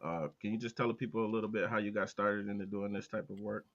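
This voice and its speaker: A man hosting a podcast, talking in a low-key, unhurried way with frequent filler words, with an American Midwest accent. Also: fairly even intonation, highs cut off. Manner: low-key, slow, hesitant with many "uh" fillers. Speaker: podcast host. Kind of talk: casual interview talk.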